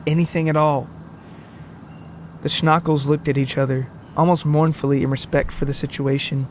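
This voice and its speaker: A man narrating a scripted comic story in a wordy, deadpan manner. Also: mid-range voice, fairly quick, a little hiss or hum.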